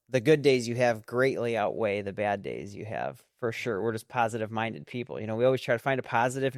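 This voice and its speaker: A man's voice: medium pitch, no echo, studio quality. A man co-hosting an interview show, speaking in a positive, affirming way. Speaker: podcast co-host. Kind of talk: casual interview conversation.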